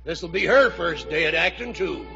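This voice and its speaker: An actor playing a brisk, folksy older showboat captain in a 1950s radio drama, with a raspy voice. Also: male voice, a little high, expressive, bright, forceful tone, soft background music, heavily acted. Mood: brisk, jovial. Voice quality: raspy, creaky. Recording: dull old radio broadcast.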